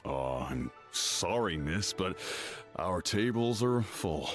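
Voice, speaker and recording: Deep-voiced, polite waiter. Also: male voice, very animated, slow pace, music in the background, heavily acted. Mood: apologetic, helpful. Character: polite, apologetic restaurant waiter. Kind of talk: video game voice acting.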